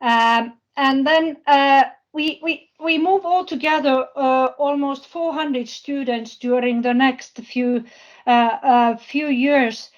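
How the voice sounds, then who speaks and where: High-voiced woman presenting haltingly. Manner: halting, searching for words. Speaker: presenter, university project representative. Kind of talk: unscripted presentation at an online business forum. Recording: dull, lo-fi online call audio.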